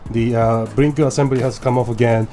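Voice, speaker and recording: A man's voice: medium pitch, steady tone, fast, dark tone, soft background music. A young man with a stuffy nose from a cold, chatting casually as he explains a motorcycle install step by step in his garage. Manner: chatty, explains steps as he works. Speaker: motorcycle vlogger. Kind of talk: casual how-to vlog. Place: home garage.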